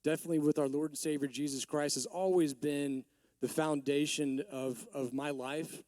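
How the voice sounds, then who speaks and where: Hoarse Texan businessman speaking at a summit. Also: fairly high pitch, steady tone, normal speed, darkish tone, no room sound, clean studio sound. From Texas. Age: 40s. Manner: rambling, many restarts. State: hoarse from allergies. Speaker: business owner giving a talk. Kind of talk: conference speech.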